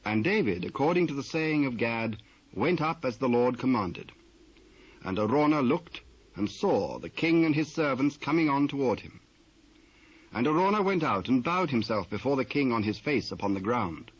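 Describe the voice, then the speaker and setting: British man, husky medium-pitched voice, measured formal scripture narration, muffled cassette sound. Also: expressive. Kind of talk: scripted dramatised Bible narration. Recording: muffled old cassette transfer.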